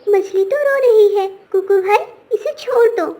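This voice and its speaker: A woman's voice: very high-pitched, unhurried, warm tone, pitched above the performer's own voice. A woman voicing an anxious, tender-hearted little girl bird in a children's cartoon. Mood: pleading.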